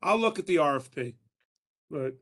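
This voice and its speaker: A man's voice: on the high side, animated, moderate pace, a bit bright. A man asking questions in a video-call board meeting, hesitant with stumbling restarts.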